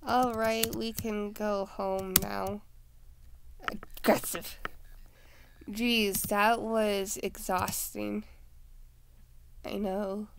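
A woman's voice: mid-range voice, little pitch movement, very slow, creaky, long pauses, a little hiss or hum. Chatty young woman playing a game. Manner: chatty, muttered asides to herself. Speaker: gaming video creator. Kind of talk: casual let's-play commentary.

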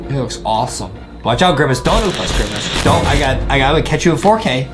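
Animated young man with swooping pitch. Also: brisk. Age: young adult. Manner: playful, exclaiming, repeats phrases. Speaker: YouTube reaction host. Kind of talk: excited gaming reaction banter. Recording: loud music behind the voice. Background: music.

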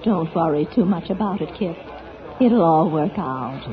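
Slow, gentle old lady, tinny radio. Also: deep voice, expressive, crowd noise. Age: older. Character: frail old spinster, gentle, wistful, former opera star. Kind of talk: 1940s radio acting. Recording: tinny 1940s radio recording, music behind.

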